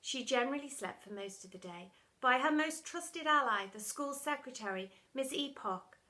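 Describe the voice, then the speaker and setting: A woman's voice: high-pitched, lively intonation, moderate pace, sharp tone. A woman teacher reading a children's storybook aloud with theatrical, emphatic expression.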